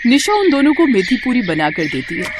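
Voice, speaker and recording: A woman narrating a scripted moral tale for animation in an expressive storytelling manner. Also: fairly high pitch, brisk, background noise.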